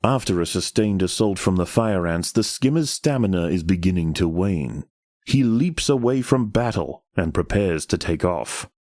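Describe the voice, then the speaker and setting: A deep, mellow nature documentary narrator for a game. He delivers scripted lines with grave, measured drama. The sound is dry and intimate. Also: animated, no echo. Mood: grave, dramatic.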